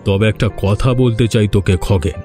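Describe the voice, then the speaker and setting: Man playing bright-voiced scheming village landlord. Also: lowish voice, normal speed, faint music behind, natural voice. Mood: calm, commanding. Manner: commanding, condescending, calculating. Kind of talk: Bengali cartoon voice acting.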